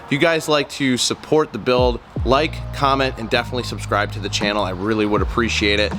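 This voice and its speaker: A man's voice: mid-range voice, fairly even intonation, brisk, background noise. A young man with a nasal voice from a cold, talking in an easygoing, earnest way through a casual car walkaround vlog with many ums and uhs. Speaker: car vlogger. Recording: music playing underneath.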